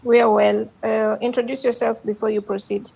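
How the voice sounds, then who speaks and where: A woman's voice: fairly high pitch, level tone, normal speed, creaky, darkish tone, slightly rough, poor audio. A woman co-moderating a panel, calling on speakers politely and a little hesitantly.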